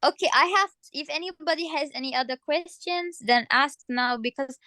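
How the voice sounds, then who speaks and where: A woman's voice: high voice, normal speed, bright, forceful tone, no room sound. A teenage girl on a video call, chatting casually in a friendly, reassuring way. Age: teens. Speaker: guest language speaker. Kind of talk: casual conversation.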